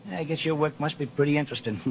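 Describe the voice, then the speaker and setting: A man's voice: on the high side, rapid-fire, close to an everyday voice. A man playing a chummy, folksy young elevator operator in an old-time radio drama. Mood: breezy, chummy. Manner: folksy, informal. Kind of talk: 1940s-50s radio drama acting. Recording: dull, noisy vintage radio recording.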